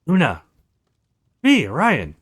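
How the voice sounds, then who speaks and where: A man's voice: fairly high pitch, huge pitch swings, very slow, fairly bright tone, breathy edge, pitched above the performer's own voice, full character voice. A man voicing an earnest, protective young male hero in a visual novel game. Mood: anxious, relieved.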